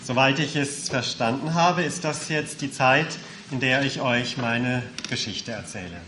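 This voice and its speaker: A man's voice: mid-range voice, bright, forceful tone. Man interpreting with faint noise behind. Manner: quick, matter-of-fact delivery with hesitation sounds. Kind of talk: consecutive interpreting.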